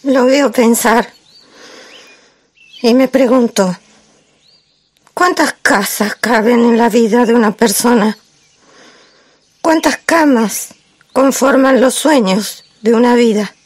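A woman playing a melancholy, lonely wife in a poetic theatrical monologue, with a measured, reflective delivery. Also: on the high side, no echo. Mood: melancholy, pensive. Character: melancholy wife recalling a silent, lonely marriage. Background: music.

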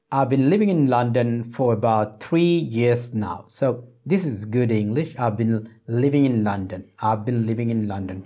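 Middle-aged male English teacher, mid-range pitch, explains and repeats phrases for learners. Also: darkish tone. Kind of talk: explanatory spoken English lesson. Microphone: lapel microphone.

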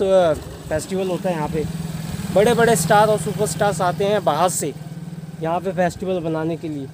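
High-pitched young man vlogging, noisy surroundings. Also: darkish tone. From Haryana, India. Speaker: travel vlogger, hitchhiker. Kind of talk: casual vlog narration to camera. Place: outdoors on bridge and highway, later in a car. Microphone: phone or camera at arm's length. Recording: noisy outdoor roadside and vehicle noise.

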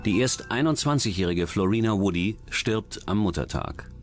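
Deep-voiced male documentary narrator over music. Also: expressive, normal speed. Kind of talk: scripted true-crime narration. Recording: music under the voice.

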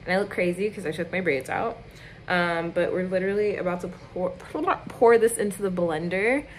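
A woman's voice: fairly low pitch, fairly even intonation, normal speed, slight background noise, poor audio. Rambling young woman's home cooking vlog. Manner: rambling, self-mocking, lots of fillers. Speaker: cooking vlogger. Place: small home kitchen and apartment room.

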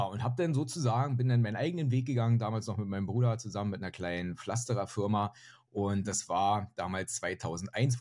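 A man's voice: fairly low pitch, mellow. A man in his forties from northern Germany, a podcast guest chatting casually and self-deprecatingly with lots of fillers, recorded over a video call with headphones on. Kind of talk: casual interview talk. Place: home room, indoors. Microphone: headset on video call.

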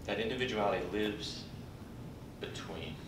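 An older man speaks from a podium in a big conference hall, his lowish voice folksy and broken by pauses. He is picked up from far off on a room recording, with the hall ringing around him and a steady rumble underneath. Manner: folksy, warm-humored, halting with pauses. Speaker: conference speaker introducing a colleague. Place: large conference hall, indoors. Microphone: podium mic, recorded from afar by a computer. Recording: distant echoey hall recording with background rumble.

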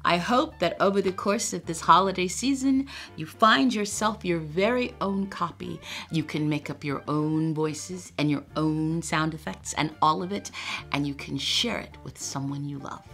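A middle-aged woman reading a children's storybook aloud in an animated, expressive way, recorded by webcam in a room lined with bookshelves. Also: on the low side, moderate pace, faint music behind. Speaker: storytime reader.

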